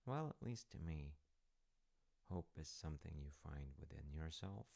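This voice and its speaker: A man playing a gentle, reflective dad in an unhurried, intimate audio roleplay chat, gravelly and at times breathy. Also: a bass voice, animated, heavily acted. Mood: reflective, tender. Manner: reflective, gently encouraging, pausing. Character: gentle wholesome dad chatting warmly over tea.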